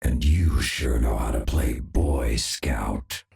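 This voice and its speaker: An actor playing a menacing, wraith-like mercenary villain in a video game, sneering and sardonic in a gravelly growl. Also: male voice, very deep voice, a little mellow, roomy sound, exaggerated voice. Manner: slow, sneering. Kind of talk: video game character voice acting.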